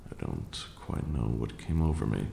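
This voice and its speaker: Man acting as gravelly, extremely deep ancient vampire lord. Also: big pitch swings, reverberant, noisy background, heavily acted. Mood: stern, softening. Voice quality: very deep, gravelly, breathy at times. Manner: slow, formal, dramatic. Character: ancient male vampire lord, brooding, proud, protective teacher. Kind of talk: ASMR roleplay acting.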